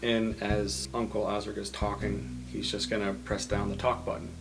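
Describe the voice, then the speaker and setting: A man with a lowish voice plays a tabletop game, casually explaining what his character does. Music plays clearly underneath. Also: level tone, normal speed, rough recording. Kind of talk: casual improvised description of character actions.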